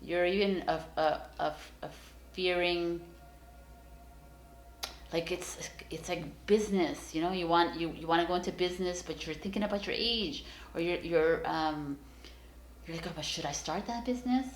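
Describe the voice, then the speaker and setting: A woman's voice: lowish voice, normal speed, reverberant. A woman giving a casual, encouraging tarot reading straight to her viewers, rambling with many fillers and restarts. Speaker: tarot reader.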